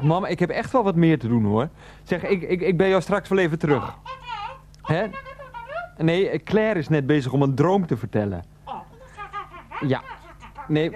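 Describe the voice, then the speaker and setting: An actor playing a fussy, placating husband and son in a radio play, hesitant and repeating himself. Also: male voice, a little high, background noise.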